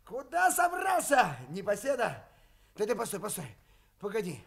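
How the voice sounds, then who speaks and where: A tipsy father in a Soviet radio play. His voice is very high and swings widely. He is boisterous and slurred, drawing his words out slowly. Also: huge pitch swings, vocal fry, a bit bright, exaggerated voice. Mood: tipsy, teasing. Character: tipsy, boisterous father home from selling potatoes.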